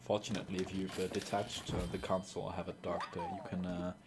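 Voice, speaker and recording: A man streaming a game live, talking in a dry, sarcastic way with lots of halting "uh"s. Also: low voice, wildly swooping pitch, background noise. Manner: dry, sarcastic, full of filler "uh"s. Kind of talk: casual live gameplay commentary.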